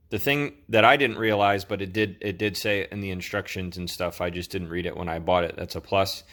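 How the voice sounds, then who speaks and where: A man's voice: low voice, a bit bright. A man hosting an informal product review, talking in a casual, conversational way.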